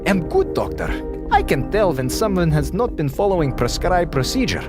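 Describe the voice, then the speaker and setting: An actor in a radio drama playing a menacing, coldly patient space station doctor, formal, deliberate and condescending. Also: male voice, high-pitched, sing-song, over music. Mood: exasperated, condescending.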